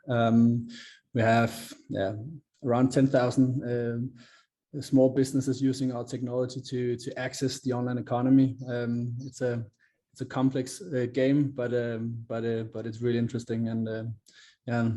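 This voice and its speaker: A man's voice: medium pitch, dark tone. A man with a Danish accent introducing himself on a webinar panel, hesitant with many fillers. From Denmark. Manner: hesitant, many ums and uhs. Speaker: company founder, panelist. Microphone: video call. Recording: dull lo-fi call audio.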